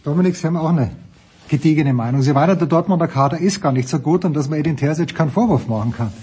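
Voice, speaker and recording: A man's voice: a little high, darkish tone, slightly rough. A man with an Austrian accent hosting a casual sports talk show, joking and teasing his panel. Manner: jokey, teasing, quick moderator. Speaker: host.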